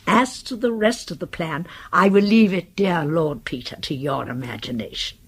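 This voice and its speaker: An actress playing a genteel, crafty British spinster sleuth in a radio drama, with fussy, precise and effusive diction. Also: female voice, fairly low pitch, husky.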